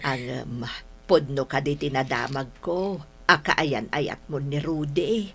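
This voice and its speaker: A woman's voice: deep voice, slow pace, fairly bright tone, breathy edge, heavily acted. A woman acting the part of an affectionate middle-aged mother in a radio drama. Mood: fond, probing.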